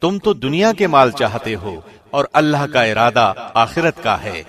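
A man reading a scripture translation from a script in a measured, formal announcer's delivery. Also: medium pitch, big pitch swings, fairly bright tone. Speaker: translation reader, radio host.

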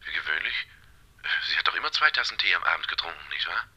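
A very low, sharp-edged male voice through a telephone. He is brusque and grudging, a husky, dismissive man in a German radio play. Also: expressive, fast, heavily acted, rough recording. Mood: defensive.

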